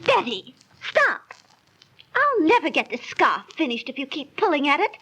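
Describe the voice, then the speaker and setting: An actress playing an impatient, inquisitive older sister, earnest and theatrical in 1930s radio drama style. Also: female voice, high-pitched, huge pitch swings, normal speed, pushed and bright, faint music behind, full character voice, poor audio. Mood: annoyed.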